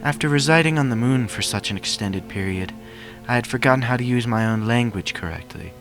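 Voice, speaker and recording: Male reader as pony princess, raised pitch, archaic royal diction, awkward, earnest, storybook character, background music. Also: lively intonation, a bit bright. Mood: hesitant, apologetic. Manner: formal, archaic, halting royal speech. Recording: music behind the voice.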